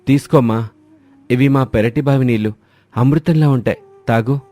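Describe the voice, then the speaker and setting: A man playing a gentle lonely villager. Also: medium pitch, normal speed, close to an everyday voice. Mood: reassuring. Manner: gentle, reassuring. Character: lonely middle-aged village man, kind, stubborn.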